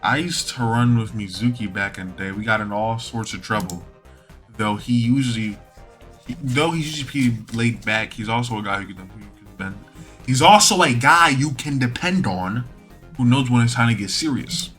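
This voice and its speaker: A man's voice: medium pitch, lively intonation, normal speed, a bit bright. A man playing a video game, reading its dialogue and narration aloud in a casual, slangy way over game music. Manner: acts out lines in slangy casual speech.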